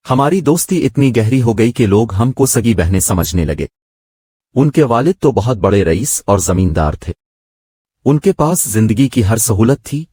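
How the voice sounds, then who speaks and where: A man's voice: on the low side, a little mellow, slightly rough, dry recording. A man reading a scripted moral story as its narrator.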